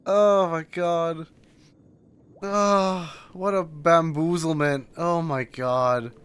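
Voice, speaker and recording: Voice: medium pitch, steady tone, extremely slow, fairly bright tone, clear, resonant tone. A streamer reacting casually to a game, in an emotional, exclamatory way.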